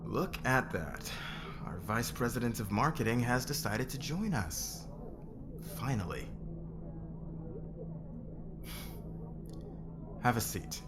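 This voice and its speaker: A man's voice: medium pitch, lively intonation, a little slow. A man playing a curt, sarcastic, demanding fashion magazine boss in a scripted audio roleplay. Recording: noisy background ambience.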